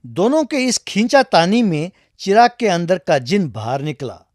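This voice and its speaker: Animated children's storyteller, dry close sound. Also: mid-range voice, measured pace, no room sound. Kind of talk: scripted children's story narration.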